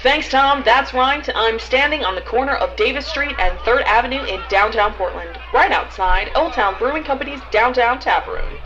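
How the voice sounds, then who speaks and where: A woman's voice: on the high side, expressive, moderate pace, few pauses, dull audio, over music, close to an everyday voice. A woman playing a polished local television reporter giving a scripted field report. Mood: composed, upbeat.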